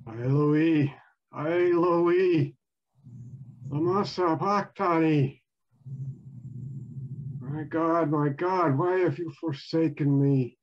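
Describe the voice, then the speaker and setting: A man as anguished, very slow Jesus. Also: fairly high pitch. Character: anguished Jesus crying out on the cross. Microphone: video call. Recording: dull, lo-fi video call.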